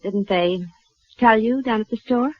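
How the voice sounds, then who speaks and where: A muffled 1950s radio recording carries a frontier woman doctor. She is poised and matter-of-fact, delivering her lines briskly and dryly in period radio-western style. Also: on the high side, no room sound. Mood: composed, dry. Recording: dull vintage radio recording.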